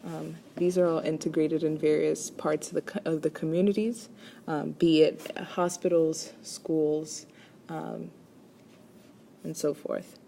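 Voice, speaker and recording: A woman's voice: low voice, level tone, slow, gravelly, mellow, a little hiss or hum. A woman from Rwanda presents a class assignment as a chiropractic student, speaking hesitantly with many ums and restarts.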